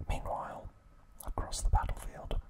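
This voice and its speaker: A man hosting an ASMR video, narrating in a hushed, unhurried whisper. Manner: slow, hushed, unhurried trivia recap delivery.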